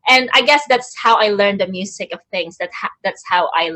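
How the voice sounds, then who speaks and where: Soft-spoken woman on a video call. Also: mid-range voice, moderate pace. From Philippines. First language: Tagalog. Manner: soft-spoken, searching, with frequent ums. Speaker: guest poet. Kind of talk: interview answers.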